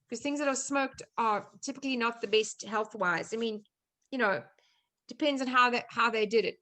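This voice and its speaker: A woman's voice: fairly high pitch, pushed and bright. A woman with a South African accent chatting informally and reassuringly as a guest nutrition coach on a video call. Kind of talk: casual online presentation and chat.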